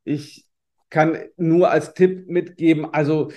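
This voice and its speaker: A man from Germany, a podcast guest, answering questions in a relaxed, anecdotal way with many fillers and restarts. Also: on the high side, slow. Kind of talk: interview answers, storytelling.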